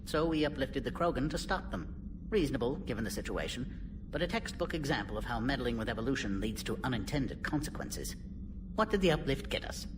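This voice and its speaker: A brisk alien scientist played by a male actor in a video game, dryly musing in clipped, academic phrases. His pitch swings widely, and he sounds set back from the mic amid clear noise. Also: animated, lightly acted. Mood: dry, explanatory. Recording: distant voice over clear background noise.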